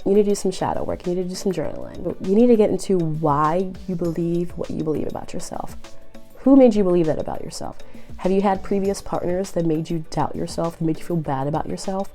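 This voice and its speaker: A young woman with a cold, sounding nasal and raspy, giving earnest, encouraging relationship advice straight to the viewer. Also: on the low side, lively intonation, fast talker, dark tone, faint music behind. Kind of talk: direct-to-camera advice vlog.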